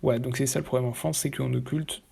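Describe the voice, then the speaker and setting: Male commentator, husky and breathy, mid-pitched, hesitant delivery full of fillers. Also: fairly even intonation, dark tone. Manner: hesitant, halting, with many fillers and restarts; blunt and opinionated. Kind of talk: casual reaction commentary.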